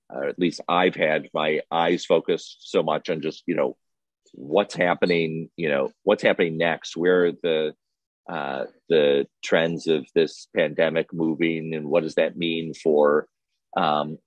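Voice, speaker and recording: A man giving a conversational interview answer as a guest, halting and searching for his words. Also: dry recording. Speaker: film festival director, panel guest. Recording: muffled, boomy room sound.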